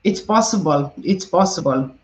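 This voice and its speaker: A woman's voice: on the low side, expressive, normal speed, darkish tone, echoey room, low-quality recording. A woman hosting a casual livestream, reading viewer comments aloud and answering them conversationally. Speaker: livestream host. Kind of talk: casual livestream chat about a case.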